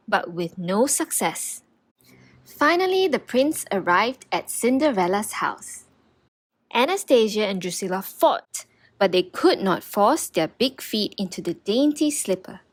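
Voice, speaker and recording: Woman storyteller, children's read-aloud, fairly high voice, very lively intonation, unhurried. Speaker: storybook narrator.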